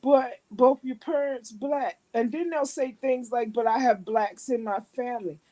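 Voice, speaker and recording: A woman in a casual discussion, asking a long, deliberate question. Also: on the high side, dark tone.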